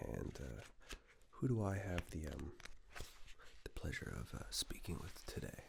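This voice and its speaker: A man playing a courteous, gently salesy suit-fitting attendant in a soft-spoken, partly whispered ASMR roleplay. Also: deep voice, vocal fry, full character voice. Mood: welcoming, polite. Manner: polite, unhurried, hesitant pauses.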